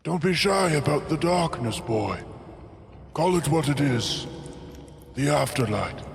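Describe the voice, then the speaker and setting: A man's voice: fairly high pitch, animated, measured pace, gravelly, long reverb tail, slight background noise, pitched above the performer's own voice. A man playing a mysterious merfolk storyteller in an audio drama, speaking theatrically and ominously.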